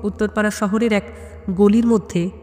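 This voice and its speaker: A woman narrating a scripted suspense story dramatically, in measured phrases with pauses for tension. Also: medium pitch, steady tone, dark tone. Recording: long hall-like reverb on the voice.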